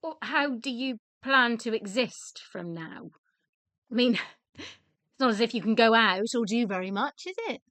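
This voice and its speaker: A woman's voice: a little high, lively intonation, moderate pace, fairly bright tone, no echo. An actress playing a down-to-earth, sceptical widow in a radio play, dryly deflating her scientist husband in a matter-of-fact manner. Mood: wry. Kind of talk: radio play dialogue acting.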